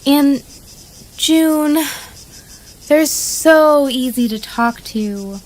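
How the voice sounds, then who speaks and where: A woman's voice: high-pitched, drawn-out words, poor audio. A woman playing a lovestruck, earnest, awkward runaway in an audio drama, gushing and flustered, with music behind. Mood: defensive, dreamy.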